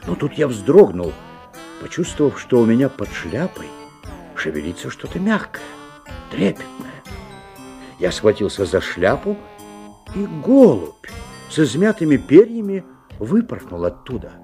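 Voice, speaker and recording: An actor playing a bemused, observant father who narrates a magical story in a radio drama, with measured, expressive storytelling. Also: mid-range voice, faint music behind. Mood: wondering.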